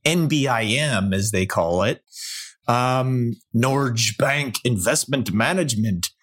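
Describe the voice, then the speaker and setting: A man co-hosting a podcast, chatting casually about investing, with halting restarts and quick laughs. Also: mid-range voice, expressive, fairly bright tone. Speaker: podcast co-host.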